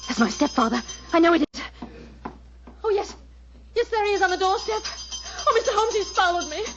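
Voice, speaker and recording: A woman's voice: very high-pitched, very animated, fairly quick, over music, heavily acted. An actress playing an anxious, genteel young lady with earnest, precise diction in 1940s radio style. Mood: frightened. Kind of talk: 1940s radio drama acting. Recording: muffled 1940s radio recording.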